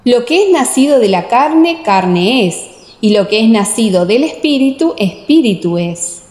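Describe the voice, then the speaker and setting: A woman's voice: on the high side, animated, a little slow, darkish tone, roomy sound, big-room sound. A woman voicing a solemn, authoritative male religious teacher with emphatic delivery. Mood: solemn teaching.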